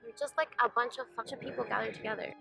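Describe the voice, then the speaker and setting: A woman's voice: on the high side, fairly even intonation, very fast, vocal fry, music in the background, rough recording. A young woman from Venezuela, a college senior, chatting easily in a documentary interview and leaning on "like" as she talks. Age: young adult. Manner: chatty, many "like" fillers.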